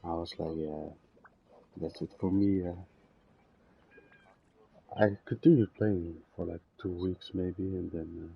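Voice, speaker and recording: A young man chatting casually while playing a game, halting and often trailing off mid-thought. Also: sits low, fairly even intonation, creaky, husky. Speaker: game streamer. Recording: dull lo-fi mic, game music behind.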